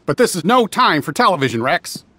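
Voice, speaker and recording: A man voicing a bossy, energetic cowboy toy who leads the others in a video game, brisk and commanding. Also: fairly high pitch, dry recording, full character voice. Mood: urgent, rallying. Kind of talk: animated video game dialogue.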